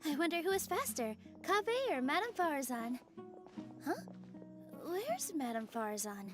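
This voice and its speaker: A polite, helpful young woman from an animated game. Her voice is high and very lively in pitch, with music clearly behind it. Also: moderate pace. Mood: curious. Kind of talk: animated video game voice acting.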